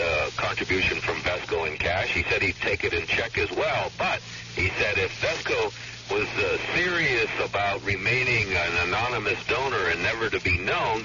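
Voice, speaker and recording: Reporter guy, kinda low voice, explaining a story, noisy line. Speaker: news correspondent. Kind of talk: radio news analysis in spoken summary. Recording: muffled radio with clear noise.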